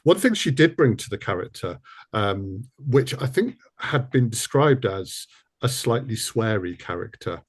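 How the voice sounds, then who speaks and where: A man's voice: mid-range voice, expressive, a little slow, husky. A man interviewing in a casual conversation, rambling and hesitant as he forms his questions. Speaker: interviewer and audio drama producer.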